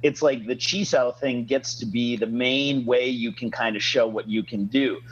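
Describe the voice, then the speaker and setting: A man's voice: mid-range voice, fairly even intonation, normal speed, slightly rough. Frank ranting man, dull audio. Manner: ranting, frank, uses fillers. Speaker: podcast host and martial arts instructor. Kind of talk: casual podcast conversation.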